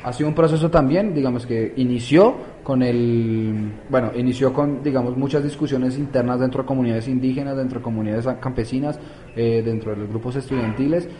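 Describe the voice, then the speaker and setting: A man's voice: medium pitch, a little fast, dark tone, a little hiss or hum, rough recording. A man from Colombia, answering interview questions as a youth activist, hesitant with many fillers and restarts.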